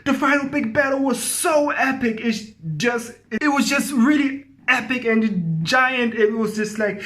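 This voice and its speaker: A man's voice: very high voice, unhurried, fairly bright tone. A man giving a casual, effusive movie review to viewers, rambling and often repeating himself. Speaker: film review host.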